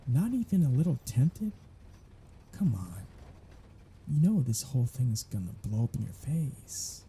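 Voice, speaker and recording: A man's voice: medium pitch, very animated, unhurried, dark tone, breathy edge, exaggerated voice. A man voicing a sardonic, flirtatious male vampire held captive on a road trip, in an ASMR audio drama. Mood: teasing. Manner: teasing, sardonic, flirtatious banter.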